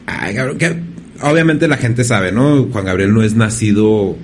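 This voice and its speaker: A man from hosting a casual interview, relaxed and slangy with frequent restarts. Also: on the low side, unhurried, a little hiss or hum. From Ciudad Juárez, Mexico. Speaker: podcast host.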